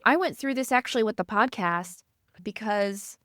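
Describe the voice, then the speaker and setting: This is a woman with a light Midwestern accent and a sweet, soothing voice in a mid register. She hosts a podcast interview and asks reflective questions in an unhurried, gentle way. Her voice sounds close and dry, with no room around it. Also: mid-range voice, dry recording. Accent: Midwestern American. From American Midwest. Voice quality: sweet, calming voice. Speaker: podcast host.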